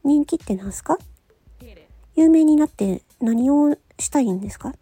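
A woman with a high, very animated voice that is mellow and breathy. She talks casually on her own in a questioning, analytical way, as if reasoning things out with the listener. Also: normal speed, dry recording. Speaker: host of a solo audio show, amateur voice actor. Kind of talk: casual solo chat.

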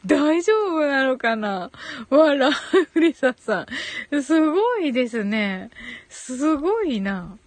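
A woman's voice: sits high, sing-song, takes it slowly, no echo. A woman hosting a casual live audio show, chatting with listeners in a giggly way and thinking aloud as she goes.

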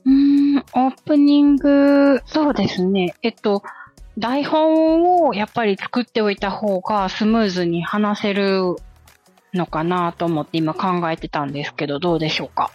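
A woman's voice: medium pitch, moderate pace, dry recording, lo-fi sound, soft background music. A woman speaking politely and conversationally as a learner co-host on a podcast. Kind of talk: polite conversational co-hosting with questions and short responses.

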